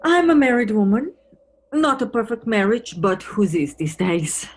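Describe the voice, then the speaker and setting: An actress playing a wry, wistful married police detective from Antwerp in an audio play, musing conversationally. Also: female voice, fairly high pitch, sing-song, unhurried. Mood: guarded, musing. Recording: noisy recording with background ambience.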